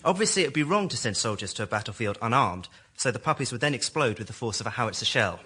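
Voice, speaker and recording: A man pitching to a live audience as a member of the public, with a deadpan, mock-sincere comic way of talking. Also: fast, husky. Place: radio studio with live audience. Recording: studio audience and faint noise. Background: audience laughter.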